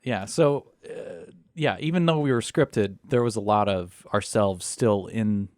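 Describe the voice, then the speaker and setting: A man's voice: fairly low pitch, measured pace, darkish tone, dry recording. A man from a cast of players, joking with dry wit in a casual panel chat.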